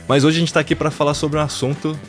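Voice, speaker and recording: A man's voice: fairly high pitch, little pitch movement, fast, faint music behind. A man hosting a podcast who introduces a guest in an upbeat way and often adds tag questions. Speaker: podcast host.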